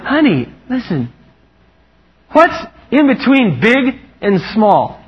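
A man preaching a lively, humorous sermon, animated and playful, acting out quotes in a higher voice. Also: takes it slowly, slightly rough. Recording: muffled lo-fi recording.

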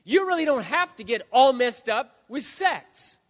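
A high-voiced man preaches in a large hall, his sound dull and trailing a long reverb. He is hoarse and swings from hushed, earnest pleading to loud, impassioned shouting. He is a pastor delivering a sermon. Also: very animated, measured pace. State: hoarse, says he has lost his voice.

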